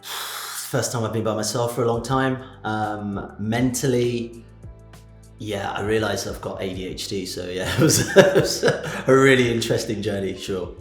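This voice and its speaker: A man in his late thirties from Birmingham talks candidly about his own life. His voice sits fairly low and carries a roomy echo, with soft music under it. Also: measured pace. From Birmingham, England. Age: 30s. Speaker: interviewee. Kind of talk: candid personal interview.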